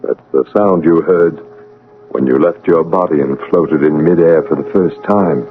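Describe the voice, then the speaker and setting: An actor playing a calm, commanding guide between life and death, measured and authoritative, in an old-time radio drama. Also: male voice, rumbling low. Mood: firm, commanding. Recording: narrow-band, noisy old radio broadcast.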